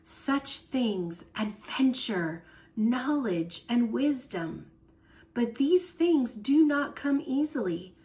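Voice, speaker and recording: A woman voicing a gentle old grandfather in a storybook, speaking quietly and thoughtfully. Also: a little high, animated, poor audio. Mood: thoughtful, tender. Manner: quiet, thoughtful, slow and tender. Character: gentle, wise old grandfather sharing lessons. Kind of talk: storybook character voice.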